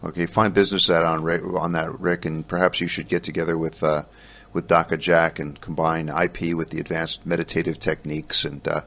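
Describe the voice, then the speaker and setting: A man hosting a hobby podcast, talking casually in a halting, rambling way with frequent pauses and restarts. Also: low voice, flat delivery, fairly bright tone. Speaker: podcast host. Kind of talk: casual solo podcast talk about ham radio.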